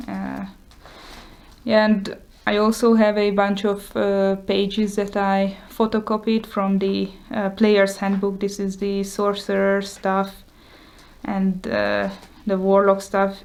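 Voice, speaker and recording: A woman's voice: mid-range voice, a little mellow. A woman whose first language is Hungarian, talking casually and hesitantly with many fillers as she shows her journal. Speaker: vlogger showing her journal. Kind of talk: casual show-and-tell.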